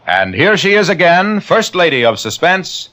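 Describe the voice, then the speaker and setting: A male radio announcer who introduces the star and reads the sponsor's commercial in a smooth, salesman-like way. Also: high voice, big pitch swings, normal speed, bright, forceful tone, faint music behind. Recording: dull old radio broadcast.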